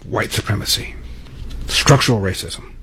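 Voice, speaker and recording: Low-voiced American male political commentator. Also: moderate pace, bright, forceful tone, breathy edge. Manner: emphatic, rhetorical questioning. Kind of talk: opinionated political monologue.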